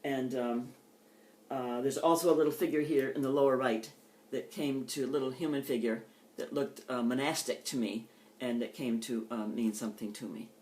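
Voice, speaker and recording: An older woman poet giving a reading with measured, deliberate phrasing and informal delivery between pieces. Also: extremely low pitch, steady tone, a little mellow. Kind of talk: poetry reading with informal introductions. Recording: echoey, roomy sound.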